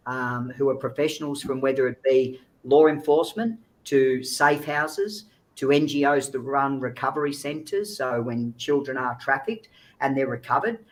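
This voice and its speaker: Man, mid-pitched voice, earnest explanatory manner, informal interview guest, video call. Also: normal speed, low-quality recording. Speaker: guest speaker, child recovery agency founder.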